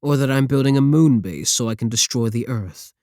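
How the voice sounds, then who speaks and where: A man's voice: mid-range voice, a little fast, a little mellow, no echo, studio quality, natural voice. A man playing a sneering villain scientist. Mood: mocking. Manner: taunting, sarcastic, condescending. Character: mocking male villain scientist, arrogant. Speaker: voice actor in audio roleplay. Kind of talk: ASMR roleplay audio.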